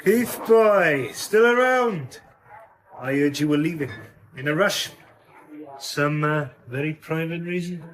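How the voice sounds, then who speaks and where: A man playing a wary, mockingly chummy underworld crook in a radio drama, insinuating, with drawn-out hesitations. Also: a little high, fairly bright tone. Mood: sly, teasing. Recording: chattering crowd behind the voice.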